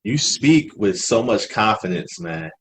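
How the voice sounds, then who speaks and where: A man's voice: lowish voice, expressive, measured pace. A man as a podcast guest in casual conversation, telling stories in an informal, slangy way and acting out quoted dialogue. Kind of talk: casual storytelling chat.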